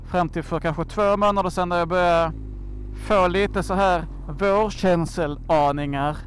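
A man with a slightly high voice talks casually while riding a motorcycle outdoors. He rambles along with jokey, slangy asides. Clear wind and traffic noise runs behind his voice. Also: fairly even intonation. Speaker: motorcycle vlogger and host.

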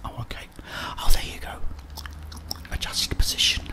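A man speaking in a soft, breathy whisper close to the microphone, as in an ASMR game playthrough. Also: normal speed. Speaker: ASMR gamer and host.

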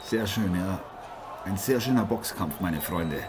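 A man playing a boxing commentator over loud crowd noise. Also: low-pitched, expressive, a little slow. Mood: chummy, reflective. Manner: repeats phrases, addresses listeners as friends. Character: male boxing commentator and ex-champion, admiring, chummy. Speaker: sports commentator. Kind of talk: live boxing commentary in an amateur radio play. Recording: noisy arena crowd behind the voice.

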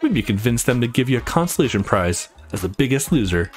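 Game rival: man playing cocky young fighter, mocking, mid-pitched, music underneath. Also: big pitch swings, quick pace, natural voice. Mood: taunting. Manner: mocking, smug. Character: cocky, taunting young male lightweight fighter.

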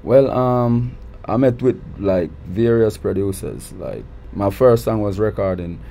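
Deep-voiced Jamaican man, mellow and unhurried. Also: gravelly, a little hiss or hum. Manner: relaxed, repeats words when starting sentences. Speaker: guest artist. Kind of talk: casual interview. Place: recording studio.

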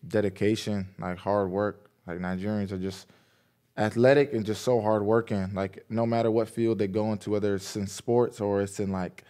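Young guy from massachusetts on a podcast, low smooth voice, chill and rambling. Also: moderate pace. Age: 20s. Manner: relaxed, laid-back storytelling with frequent "like". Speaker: guest, pro football player. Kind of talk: casual podcast interview. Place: small indoor podcast studio. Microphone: boom mic on arm beside him.

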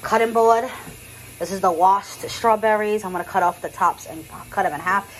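A woman's voice: medium pitch, normal speed, a little hiss or hum, rough recording. A young woman chatting casually to her vlog audience at home, talking loosely with lots of "like" and restarts. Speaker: vlogger. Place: home kitchen.

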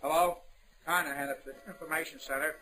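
An actor playing a brisk, businesslike police duty sergeant in an old-time radio drama, curt and matter-of-fact. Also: male voice, fairly high pitch, fairly even intonation, a crowd in the background, lightly acted. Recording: slightly noisy old radio broadcast.

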